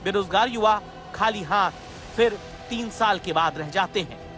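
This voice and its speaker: A man's voice: high-pitched, moderate pace. A man presenting scripted TV news narration in a dramatic, rhetorical way. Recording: loud music bed under voice. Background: music.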